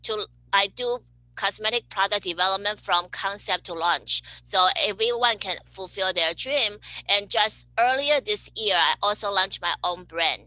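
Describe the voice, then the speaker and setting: Woman, cheerful interview guest, bright sharp tone, dry recording. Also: medium pitch, normal speed, no echo, rough recording. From Taiwan. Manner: cheerful, laughs between answers. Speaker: guest, cosmetic chemist. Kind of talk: podcast interview answers.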